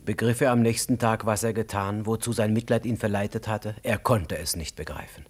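A man narrating a literary radio play in an expressive, dramatic storytelling style. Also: fairly low pitch, fairly quick, slight background noise, natural voice. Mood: tense, dramatic. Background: music.

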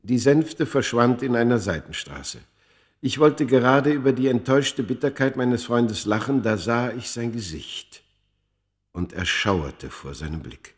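A man playing a proud ancient Egyptian who narrates his own story in a radio play, solemn, measured and dramatic. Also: medium pitch, lively intonation, close to an everyday voice. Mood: uneasy. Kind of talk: literary first-person narration in a radio play.

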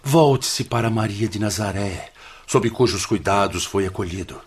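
A man's voice: on the low side, husky. A man with a Brazilian accent playing a patient, paternal spiritual counsellor and hospital director in a radio drama, speaking solemnly and formally. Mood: earnest, admonishing. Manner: solemn, measured, admonishing.

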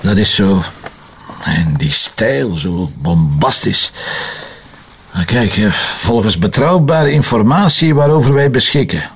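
A man's voice: on the low side, lively intonation, unhurried, husky, exaggerated voice. A man with a Flemish accent acting a seasoned, sceptical police inspector in a crime drama, dry, blunt and colloquial.

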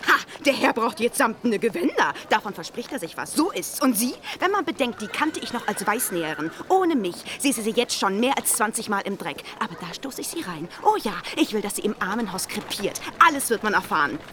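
Volatile, high-voiced jilted Parisienne. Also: female voice, sing-song, brisk, hardly any pauses, background noise. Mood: bitter, outraged. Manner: indignant, theatrical ranting with emphatic outbursts. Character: embittered, jilted, volatile Parisian woman out for revenge. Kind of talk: radio drama acting.

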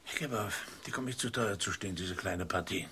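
An actor in a radio drama playing a cautious, fretful gambler with a hesitant, muttering way of talking. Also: male voice, deep voice, a little fast, background noise. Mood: dismayed. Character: cautious, dithering male gambler, fretful about money.

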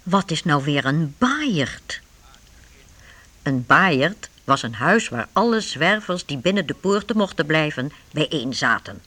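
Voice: medium pitch, very animated, unhurried, sharp tone. A narrator reading scripted scene-setting for a radio drama.

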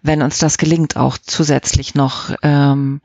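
A woman answering interview questions casually, speaking in a halting but enthusiastic way, on a slightly muffled recording. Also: deep voice, flat delivery, darkish tone, no echo. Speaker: interview guest, audio drama editor.

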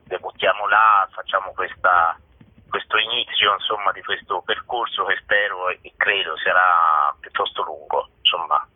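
Deep-voiced husky man on a muffled line. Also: steady tone, normal speed, no echo. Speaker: theatre director being interviewed. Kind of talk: informal radio interview answers. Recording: muffled, narrow-band phone line.

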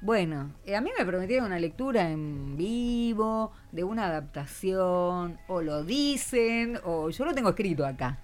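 A woman's voice: lowish voice, animated, a little slow, hardly any pauses, a little mellow, soft background music. A woman with an Argentine Rioplatense accent hosting a casual live radio interview in an informal, prompting, encouraging manner. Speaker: radio host.

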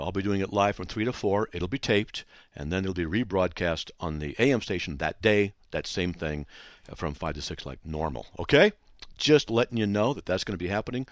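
A man hosting a call-in radio show from a studio, his voice hoarse and scratchy, chatting and joking with listeners. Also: sits low, no room sound. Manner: chatty and joking, breaking off to talk to people behind the studio window. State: hoarse, voice still shot. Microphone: studio microphone.

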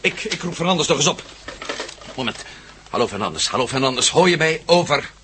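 A man's voice: on the high side, big pitch swings, normal speed, background noise. A man acting in a Dutch radio drama as an embattled, urgent and baffled adventurer hero.

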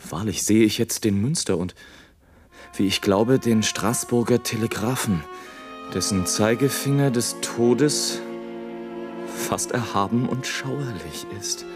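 An actor playing an anguished, visionary balloonist in a literary radio play, declaiming a solemn dramatic monologue. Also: male voice, lowish voice, takes it slowly, music in the background. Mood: awed. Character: anguished, visionary balloonist narrating war below, bitter and rapturous.

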